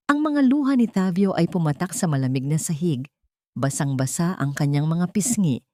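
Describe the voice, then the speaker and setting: A woman's voice: lowish voice, a little mellow, no echo, studio quality. A woman narrating a dramatic scripted story in a solemn, melodramatic manner.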